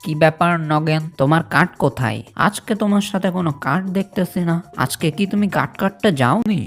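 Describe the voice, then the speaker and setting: Woman voicing a low-pitched, stingy timber boss. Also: lively intonation, a little fast, faint music behind. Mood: suspicious, refusing.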